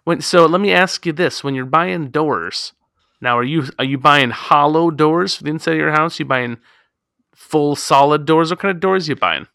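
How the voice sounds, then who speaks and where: A man's voice: fairly high pitch, moderate pace, creaky, sharp tone, no echo, studio quality. A man in his thirties on a casual podcast chat, rambling and self-deprecating, recording in his home garage. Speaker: podcast co-host.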